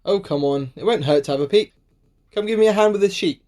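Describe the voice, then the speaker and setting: A woman's voice: mid-range voice, quick pace, background noise, natural voice. A woman acting a cocky, sceptical young adventurer with sarcastic banter in an amateur radio play. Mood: cocky, dismissive. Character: cocky, sceptical, bold young adventurer who loves to mock.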